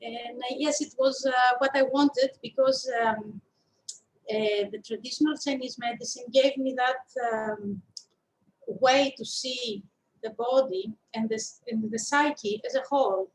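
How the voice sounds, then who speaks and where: A woman's voice: sits high, unhurried, poor audio. A woman in her fifties with a Greek accent, telling her story as an interview guest in a thoughtful, hesitant way. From Greece. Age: 50s. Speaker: interview guest, shiatsu practitioner and teacher.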